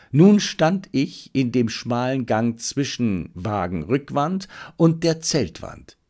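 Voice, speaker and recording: Male audiobook narrator, mid-pitched, highly animated, dramatic and suspenseful. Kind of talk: scripted narration of a detective adventure.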